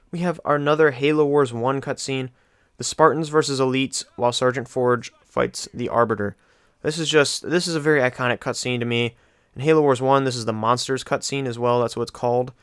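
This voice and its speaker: A man gives casual, enthusiastic gaming commentary. His voice is mid-pitched and very clear. The recording is dry, with no room sound. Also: fairly bright tone, dry recording. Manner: informal, enthusiastic. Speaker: gaming video host.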